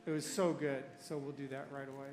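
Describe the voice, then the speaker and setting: A man serving as pastor, introducing a guest at a church service in a halting way, with many fillers. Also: medium pitch, level tone, a little mellow, a little hiss or hum. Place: church sanctuary. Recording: echoey hall with crowd behind.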